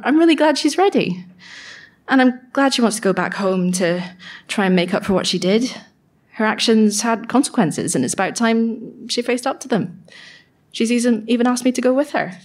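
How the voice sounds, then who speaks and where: An actress playing an excitable, nervous young apprentice magician, speaking her diary in an earnest, gushing way in a live audio drama. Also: medium pitch.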